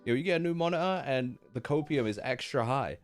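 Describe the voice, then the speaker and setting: Animated young man streaming games. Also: medium pitch, fairly quick, soft background music. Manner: slangy, playful. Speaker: game streamer. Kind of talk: casual live stream reaction commentary.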